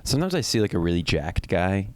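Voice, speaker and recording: A young man co-hosting a comedy podcast, riffing in a deadpan, casual way and repeating his points. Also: sits low, quick pace, no echo. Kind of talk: casual banter.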